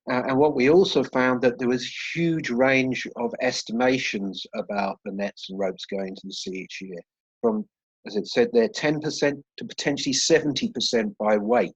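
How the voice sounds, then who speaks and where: A man's voice: medium pitch, breathy edge, dry recording. A man, a professor, giving a webinar presentation over a video call, hesitant with many fillers and restarts. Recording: dry, dull lo-fi call audio.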